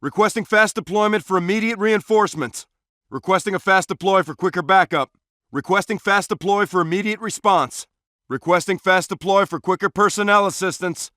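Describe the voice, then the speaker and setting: A man's voice: high voice, brisk, bright, forceful tone, no room sound, clean studio sound. A man playing an alert male SWAT police officer in a video game, giving short, clipped, commanding tactical callouts. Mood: controlled, official. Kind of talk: tactical shooter voice lines, short radio-style callouts.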